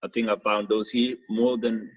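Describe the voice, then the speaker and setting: A man's voice: fairly low pitch, level tone, moderate pace. A man from South Sudan, a youth programs coordinator, speaking hesitantly with frequent fillers in a panel discussion. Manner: hesitant, many fillers and restarts. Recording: dull lo-fi recording.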